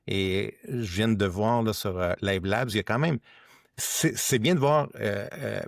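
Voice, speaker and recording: A man with a Quebec French accent hosting a casual tech podcast, speaking haltingly and repeating his words. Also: lowish voice, vocal fry, dry recording, studio quality. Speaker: podcast host.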